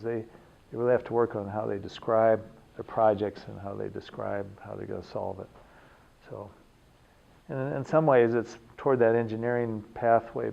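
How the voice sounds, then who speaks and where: Older man, gravelly and lowish, relaxed interview chat with soft laughs, dull recording. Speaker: engineering program organizer. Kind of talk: casual interview talk.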